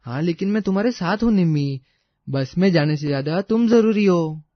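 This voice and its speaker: A man's voice: sits high, lively intonation, normal speed, no room sound, highs cut off. A man playing an earnest, devoted young man in love in a story. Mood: reassuring.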